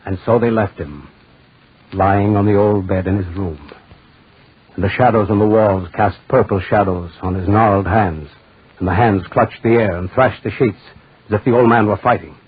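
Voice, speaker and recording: Ominous low-voiced horror narrator. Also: male voice, normal speed. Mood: ominous, suspenseful. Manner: brooding, suspenseful, builds through repetition. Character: ominous male storyteller of eerie horror tales. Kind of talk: dramatic 1940s radio narration. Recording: muffled, rough 1940s radio recording.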